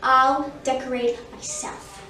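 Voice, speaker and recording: An exasperated, hardworking iguana lady from a storybook, played by a woman, speaks in a high voice with bossy emphasis. She declares things indignantly, and the sound is very echoey and away from the mic. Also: little pitch movement, takes it slowly, sharp tone, distant mic, exaggerated voice.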